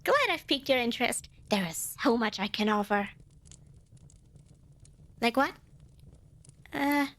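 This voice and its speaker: A woman's voice: mid-range voice, gravelly, fairly bright tone. A woman playing a cute, eager and nervous little female demon in an ASMR roleplay, bubbly and over-polite with hesitant stammers. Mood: stalling, unsure. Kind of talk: ASMR roleplay acting addressed to listener.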